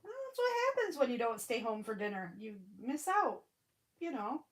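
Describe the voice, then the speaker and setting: A woman's voice: fairly high pitch, very animated, normal speed. A woman in her forties with a Michigan accent and a stuffy nose, chatting casually on a live stream and greeting viewers by name. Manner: frequent fillers and restarts. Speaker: livestream crafting host.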